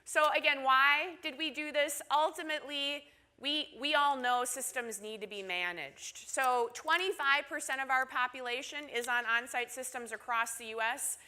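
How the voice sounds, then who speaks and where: A woman's voice: high voice, normal speed, hardly any pauses, sharp tone. A woman from Minnesota with a Minnesotan accent, giving a conference presentation in a chatty way, often asking the audience "right?". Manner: chatty, asks audience questions with tag "right?".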